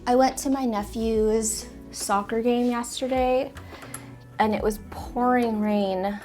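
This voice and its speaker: A woman's voice: on the high side, fairly even intonation, takes it slowly, darkish tone, faint music behind. A sniffly woman chatting casually and rambling a little while she rearranges houseplants in her apartment. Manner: chatty, rambling, self-correcting. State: sniffly, stuffy nose. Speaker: plant vlogger. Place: apartment plant room.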